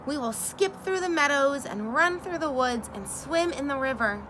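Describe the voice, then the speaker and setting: An eager male frog from a children's storybook calls out in a high, loud voice, as a woman reads him aloud. The tone is bright and lively, and he races along. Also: moderate pace, a little hiss or hum. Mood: cheerful. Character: eager cheerful male frog, excited friend.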